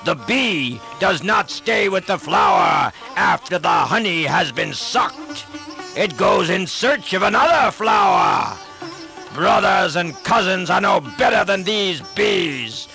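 A man voicing a proud, wrathful demon king who shouts his defiance in a storybook reading. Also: high-pitched, expressive, slow pace, vocal fry, soft background music, pitched above the performer's own voice, exaggerated voice.

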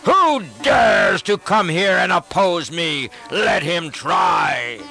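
A man voicing a proud, wrathful demon king who shouts his defiance in a storybook reading. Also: high-pitched, lively intonation, slow, gravelly, faint music behind, voice pushed up, heavily acted.

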